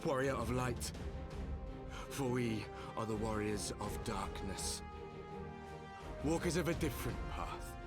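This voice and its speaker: A man playing the mocking, solemn leader of a band of dark warriors in a fantasy video game. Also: mid-range voice, lively intonation, slow pace, pauses often. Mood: menacing, grand. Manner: taunting, theatrical, solemn declarations. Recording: loud music over the voice.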